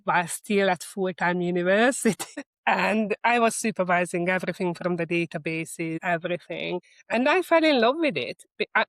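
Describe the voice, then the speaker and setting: A middle-aged woman speaks with a Hungarian accent at a medium pitch. She talks conversationally, like a guest being interviewed, and the sound is dry with very little room in it. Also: dry recording. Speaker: interview guest, marketing executive. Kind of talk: conversational interview, telling career story and advice.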